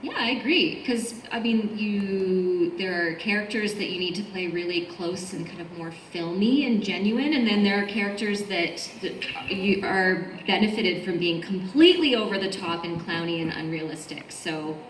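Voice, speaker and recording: A woman's voice: mid-range voice, moderate pace, few pauses. A woman voice actor chatting casually as a panelist, unscripted. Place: convention panel room. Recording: echoey room, faint background noise.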